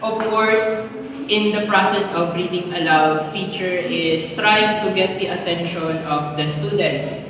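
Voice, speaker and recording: Slow-paced woman in a very echoey hall. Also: fairly low pitch. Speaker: student presenter. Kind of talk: class presentation. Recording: very echoey hall, distant mic, noisy.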